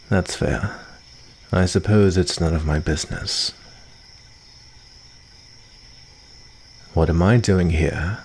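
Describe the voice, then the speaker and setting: A man playing a calm, lonely, guarded vampire of the forest in an ASMR roleplay, with formal, dryly teasing phrasing. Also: low voice, slight background noise. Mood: wry, relaxed. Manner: unhurried, soft-spoken formal phrasing with dry teasing. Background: cricket ambience.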